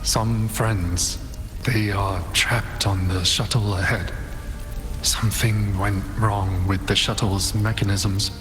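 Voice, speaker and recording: Slow, reverberant weary male soldier. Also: on the low side, level tone. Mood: grateful, weary. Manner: slow, halting, solemn. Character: weary fallen male soldier, wistful and grateful. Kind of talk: dramatic game voice acting. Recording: long hall reverb, music behind.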